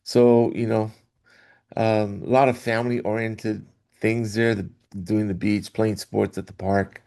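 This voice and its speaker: A middle-aged man from Hawaii, a guest in an interview, telling his story in a rambling way with restarts and soft laughs. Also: on the low side, level tone, unhurried, vocal fry, smooth. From Hawaii, Oahu. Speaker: guest, athletic director association executive. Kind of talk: casual interview talk.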